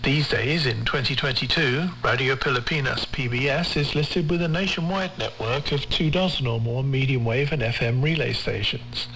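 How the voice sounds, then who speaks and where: A man reads a scripted radio feature in a measured, formal, carefully enunciated way, as a presenter on a shortwave broadcast. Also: medium pitch, bright, forceful tone, a little hiss or hum, low-quality recording.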